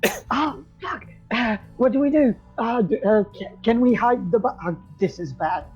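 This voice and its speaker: A woman roleplays as a player in a tabletop game, hesitant and stammering. Her voice is dark and husky. The recording sounds dull and lo-fi. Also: fairly high pitch, moderate pace, soft background music.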